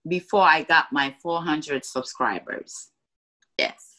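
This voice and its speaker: Guest: woman in her 30s, bright, Ghanaian-American accent, lively storyteller over a webcam call from home. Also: slow. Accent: Ghanaian-American, in between. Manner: animated storyteller, acts out quoted voices with big pitch jumps. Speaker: interview guest, cooking creator. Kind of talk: casual video-call interview. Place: living room at home. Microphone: video call webcam.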